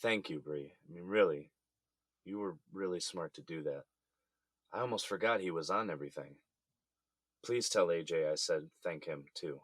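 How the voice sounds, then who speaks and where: Real deep american guy, middle aged, weary resigned husband in jail, subdued, dry close audio drama sound. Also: normal speed, no room sound. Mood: grateful, apologetic.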